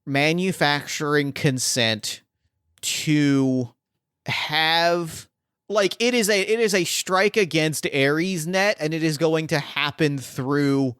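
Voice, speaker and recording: A man's voice: fairly high pitch, takes it slowly, fairly bright tone, smooth, no room sound, clean studio sound. A man acting as game master, thinking aloud with many fillers and restarts as he sets up a scene. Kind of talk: improvising a tabletop game scene.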